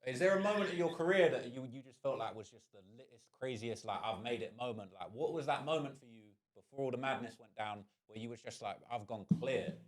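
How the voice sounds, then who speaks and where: A man's voice: medium pitch, brisk, few pauses. A British man chatting casually and earnestly with street slang as a podcast interviewer.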